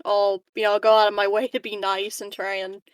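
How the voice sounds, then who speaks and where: A woman playing a tabletop roleplaying game, improvising dialogue casually in a halting, playful, teasing way. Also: mid-range voice, level tone, clean tone, dry recording.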